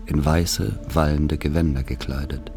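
A man narrating a literary radio play in the first person as someone recalling a rural childhood, measured and reflective. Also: extremely low pitch, fairly even intonation, vocal fry, over music, heavily acted.

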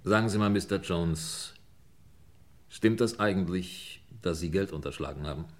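A man's voice: low-pitched, moderate pace, a little hiss or hum, lightly acted. A man playing a shrewd, impatient police inspector in a mid-century German radio crime drama, probing and commanding. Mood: probing, pressing.

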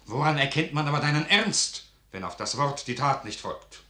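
An actor playing an impatient, distrustful noble confidant, speaking verse with pointed reproach in a 1955 German radio drama. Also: male voice, on the high side, expressive, moderate pace, sharp tone. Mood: reproachful. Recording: roomy 1955 radio studio recording.

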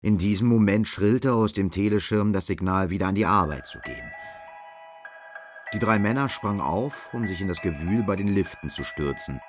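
A man narrating a literary radio drama in a measured, matter-of-fact way. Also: low-pitched, over music.

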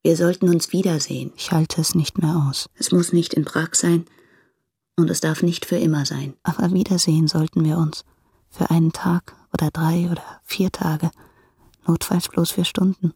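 Radio drama role: woman in love, intimate, urgent and longing, with a deep husky voice. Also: fairly even intonation, normal speed, mellow, exaggerated voice. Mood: yearning. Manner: earnest, pleading. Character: yearning, urgent woman in love, pleading by letter.

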